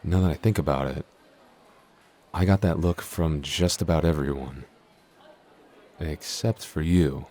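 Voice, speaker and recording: Man playing a very deep-voiced, shy giant. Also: a little mellow. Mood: flustered, hesitant. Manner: hesitant, stammering, apologetic. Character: shy, apologetic, clumsy young male giant. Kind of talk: intimate roleplay audio acting.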